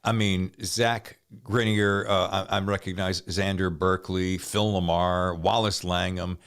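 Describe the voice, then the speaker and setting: A man's voice: deep voice, level tone, unhurried, fairly bright tone, clear, resonant tone. A man hosting a podcast, chatting informally and enthusiastically with his guests and jumping in as he goes. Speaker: podcast host. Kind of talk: interview chat.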